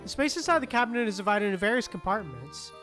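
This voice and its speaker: Casual streamer, mid-pitched, slangy and muttering, reading game text aloud over music. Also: very animated, quick pace.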